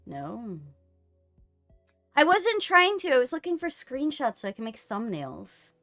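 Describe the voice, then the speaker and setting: A woman's voice: sits high, huge pitch swings, unhurried, fairly bright tone, faint music behind. A woman chatting casually on a livestream, with a playful, teasing manner. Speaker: streamer hosting a co-op visual novel playthrough.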